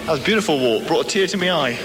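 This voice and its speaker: A man's voice: fairly high pitch, lively intonation, racing, music in the background. A man hosting a radio interview, teasing his guest and leading with tag questions. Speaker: radio presenter.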